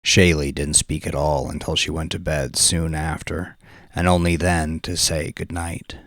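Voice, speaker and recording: A man's voice: a bass voice, level tone, unhurried, fairly bright tone, no echo, clean studio sound. A man narrating a story as a dramatic scripted audiobook reading.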